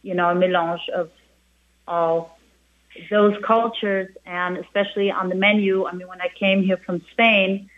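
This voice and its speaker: A woman's voice: medium pitch, steady tone, a little slow. A middle-aged woman from Germany, a German native speaker and restaurant owner, chatting as an interview guest with many restarts and "you know"s. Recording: phone-like narrow band.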